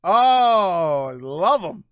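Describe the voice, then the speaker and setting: A man's voice: sits high, sing-song, drawn-out words, a bit bright, clear, resonant tone, dry recording, studio quality. A man hosting a casual live-stream show, answering viewer comments in a loose, rambling way with lots of fillers. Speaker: livestream show host.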